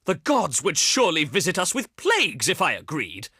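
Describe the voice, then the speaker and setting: Pompous, animated ancient Greek diplomat. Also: male voice, on the high side, husky, no room sound, clean studio sound, full character voice. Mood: scornful. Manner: pompous, oratorical, deliberate phrasing. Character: haughty ancient Greek diplomat, pious and slyly threatening. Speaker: video game voice actor. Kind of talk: theatrical scripted game dialogue.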